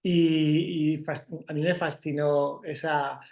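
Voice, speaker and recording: A man's voice: on the high side, level tone, slow, creaky, darkish tone. A man with a Spanish accent from Spain, an event organizer answering interview questions in a reflective way, often tagging his sentences with a questioning "no". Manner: reflective, frequent "¿no?" tags and restarts. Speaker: festival organizer.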